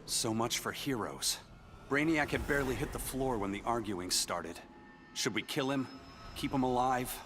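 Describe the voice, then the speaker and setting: A man's voice: mid-range voice, normal speed, loud music behind the voice, lightly acted. A man playing a speedster superhero, narrating his own story in a game ending, reflective and earnest. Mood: weary, reflective. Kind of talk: scripted first-person game narration.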